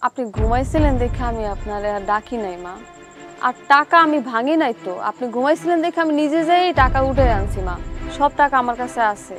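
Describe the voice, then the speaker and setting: An actress playing a wronged, dutiful young village daughter-in-law in a TV drama, pleading respectfully but in protest, with a Bangladeshi accent. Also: female voice, high-pitched, expressive, brisk, fairly bright tone, faint music behind. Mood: earnest pleading. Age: young adult. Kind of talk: melodramatic TV drama acting.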